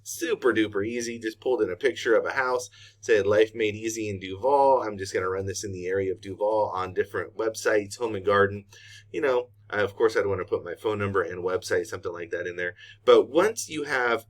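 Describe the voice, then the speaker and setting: A middle-aged man, walking through a software tutorial in a casual, unscripted way as a trainer. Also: on the low side, brisk. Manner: casual, unscripted, thinking aloud while clicking. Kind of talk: informal screen-share tutorial.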